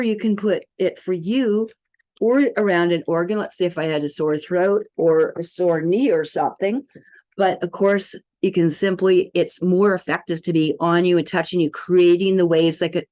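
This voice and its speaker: A woman's voice: fairly low pitch, steady tone, moderate pace, warm tone. Chatty woman presenter, dull lo-fi sound. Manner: chatty, enthusiastic, addressing viewers directly. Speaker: presenter demonstrating a health product. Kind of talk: casual product demo.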